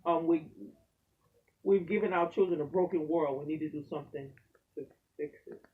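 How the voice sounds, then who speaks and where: A man, a pastor and poet, talking in an animated, preacherly way in a casual faith conversation. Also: a little high, normal speed, distant mic, narrow, tinny audio.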